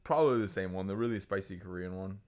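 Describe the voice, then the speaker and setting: A man's voice: sits low, fast talker. A man co-hosting a podcast in casual chat, talking freely and swearing, often acting out what other people said. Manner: animated, acts out others' voices, swears. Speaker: podcast co-host.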